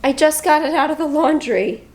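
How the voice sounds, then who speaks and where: A woman's voice: sits high, normal speed, a little mellow. A woman talking casually to camera in a home vlog, a bit stuffy and nasal today. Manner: chatty, upbeat, talks to camera. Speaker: vlogger. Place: home office.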